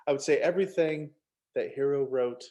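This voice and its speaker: A man's voice: mid-range voice, a little fast, dark tone. A man speaking casually as a guest author, telling anecdotes and often repeating words as he starts.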